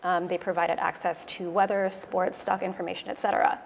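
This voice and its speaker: A woman from Toronto with a Canadian English accent gives a prepared conference talk in a clear, measured, explanatory way. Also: on the low side, level tone. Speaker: conference speaker, web developer. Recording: echoey hall, noisy room ambience.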